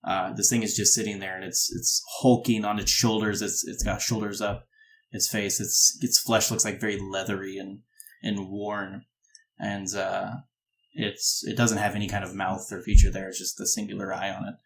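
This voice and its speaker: A man narrating a tabletop game as game master, improvising descriptions in a halting, descriptive way. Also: lowish voice, level tone, gravelly.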